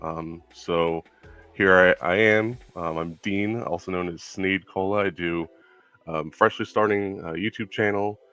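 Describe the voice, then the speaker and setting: A young man hosting a casual Q&A stream, speaking in a relaxed way with many ums and filler words. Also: low-pitched, a little slow, clear, resonant tone. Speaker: stream host.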